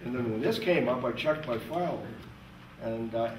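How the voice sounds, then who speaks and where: A man makes informal remarks from an audience. He is picked up at a distance in an echoey room, with clear background noise. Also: medium pitch, normal speed. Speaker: musician in the audience. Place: meeting room, away from the mic.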